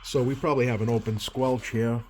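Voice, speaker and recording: A man's voice: medium pitch, level tone, fairly quick. A man giving a casual hands-on repair walkthrough as a technician, thinking aloud hesitantly at a workbench. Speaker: CB radio repair technician demonstrating. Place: indoor workbench. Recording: clear background noise.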